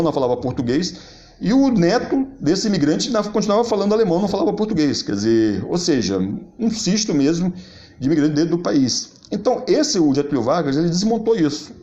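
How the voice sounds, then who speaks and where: A man's voice: on the high side, big pitch swings, fast, warm tone, soft background music. A man giving loose spoken commentary, circling back with frequent restarts and self-corrections. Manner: rambling, many restarts and "quer dizer". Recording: dull lo-fi recording.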